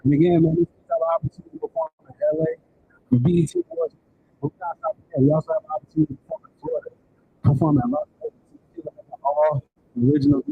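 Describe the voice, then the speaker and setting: A man's voice: very high voice, fast talker, gravelly, narrow, tinny audio, slight background noise. A man with a Southern American accent emceeing a live church event, hyping the crowd and promoting the performer. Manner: lively, encouraging announcer.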